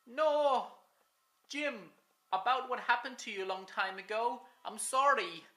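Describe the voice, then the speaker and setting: A woman's voice: fairly high pitch, unhurried, bright, forceful tone, echoey room, voice pushed up. A woman voicing a terrified small male steam engine who pleads and cries out in fright.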